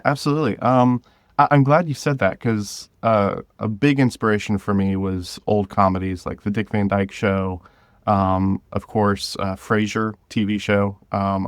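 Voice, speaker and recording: Man, interview guest, lowish pitch, conversational, searching for words, dry close sound. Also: dry recording. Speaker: podcast guest, writer and creator. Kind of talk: casual interview chat.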